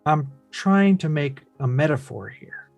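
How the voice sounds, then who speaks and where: A man's voice: a little high, animated, measured pace, vocal fry, no room sound, soft background music. An actor playing a frustrated grown son who confronts his father, earnest and pressing, at a film script read. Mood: exasperated.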